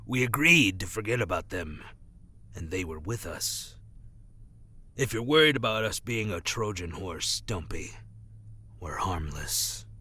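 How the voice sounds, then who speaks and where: A man acting as a dry-witted undercover government agent in an audio drama, measured and a little sardonic. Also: low-pitched, expressive, bright, forceful tone, slightly rough, heavily acted. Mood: cool, reassuring. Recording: faint background ambience noise.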